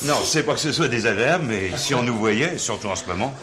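An actor playing a condescending, cynical police inspector in a 1970s crime drama. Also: male voice, mid-range voice, animated, quick pace, noisy background, close to an everyday voice. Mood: flustered, flattered. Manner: condescending, bargaining. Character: gruff, cynical, flirtatious male police inspector.

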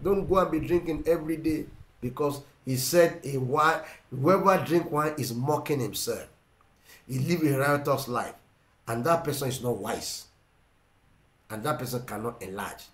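A middle-aged man with a Ugandan English accent preaching a sermon, emphatic and repetitive, swinging between loud and quiet. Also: mid-range voice, normal speed, echoey room. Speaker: pastor. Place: indoor room with plain wall. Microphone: seated facing camera.

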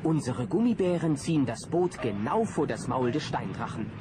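A man acting as the narrator of a German children's audio drama, telling the story in a lively scripted style. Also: mid-range voice, moderate pace, over music, natural voice. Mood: engaged. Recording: noisy cassette with loud background effects.

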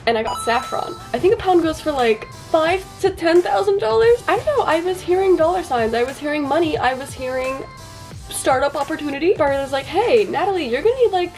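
A young woman talking casually as a vlogger, chatty, animated and jokey about herself. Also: sits high, brisk, faint music behind. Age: young adult. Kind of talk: casual vlog narration, self-deprecating.

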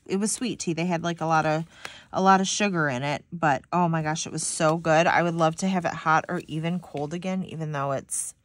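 A woman's voice: low voice, dry recording. A young woman with a slightly stuffy, nasal voice, rambling casually to viewers while decorating a planner. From Michigan. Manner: rambling, chatty, many "like"s, talks to viewers. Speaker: planner vlogger.

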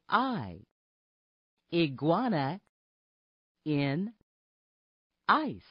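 Very slow, lively woman teaching the alphabet. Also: fairly low pitch, breathy edge, no room sound, lo-fi sound. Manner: deliberate, separated words and short phrases. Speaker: narrator of a children's alphabet app. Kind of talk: scripted phonics lesson narration for young children.

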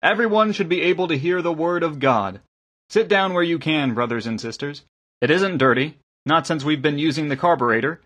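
A man's voice: on the high side, animated, fairly quick, voice pushed up. A man voicing a kindly, eager engineer and lay preacher in an audiobook, with a hearty, welcoming manner. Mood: eager, hospitable. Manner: hearty, welcoming, gently correcting. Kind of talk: audiobook character acting.

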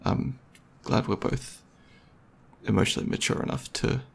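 A man playing a caring, goofy, slightly nervous boyfriend in an ASMR roleplay, chatting intimately and hesitantly to the listener with a husky, slightly gravelly voice. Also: mid-range voice, steady tone, moderate pace. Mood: earnest, hesitant. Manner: hesitant, rambling, playful.

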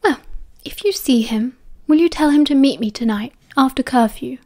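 Woman putting on a soft, girlish, haughty schoolgirl. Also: high voice, normal speed. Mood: petulant. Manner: coy, entitled.

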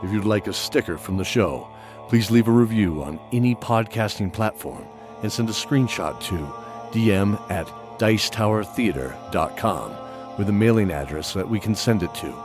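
A man's voice: on the low side, expressive, normal speed, close to an everyday voice. A man narrating a fantasy audio drama in a dramatic scripted style. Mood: announcing. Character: storyteller narrating epic fantasy battles. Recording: loud music under voice. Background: loud music.

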